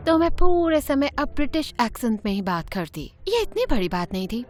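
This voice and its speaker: A woman narrating an animated story in first person in an expressive, chatty way. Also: sits high.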